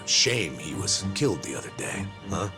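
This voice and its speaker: An actor playing a sardonic, determined older disgraced cop in a video game drama, with a folksy, taunting way of talking. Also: male voice, deep voice, big pitch swings, over music. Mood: smug. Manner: taunting, folksy, drawn-out delivery. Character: gruff, sardonic older discharged male cop, smug and determined.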